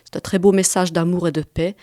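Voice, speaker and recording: A woman in her forties speaks as an interview guest in a fairly low voice with a Belgian French accent. She talks conversationally, pausing to find her words. The recording is close and dry. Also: steady tone, warm tone, no echo. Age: 40s. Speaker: guest author and artist.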